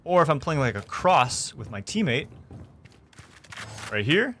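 A young man hosting a gaming tutorial, explaining things clearly step by step from his streaming room. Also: fairly high pitch, big pitch swings, unhurried, fairly bright tone. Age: young adult. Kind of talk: tutorial narration. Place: small indoor room streaming setup.

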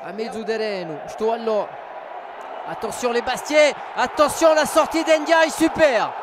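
High-pitched excitable football commentator, male. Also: unhurried. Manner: excitable, partisan, repeats phrases. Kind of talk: live football match commentary. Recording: loud stadium crowd and noise. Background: stadium crowd.

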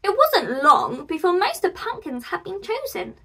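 A woman in her twenties reading a picture book aloud to preschoolers, animated and expressive. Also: extremely high pitch, moderate pace, recorded from a distance. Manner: animated, expressive, addressing young children. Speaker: children's storyteller and host.

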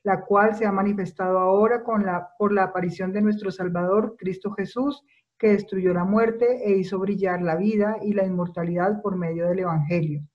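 Lay reader, earnest steady reading, home webcam sound. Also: female voice, medium pitch, lo-fi sound. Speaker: lector. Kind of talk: reading scripture. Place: home room, indoors.

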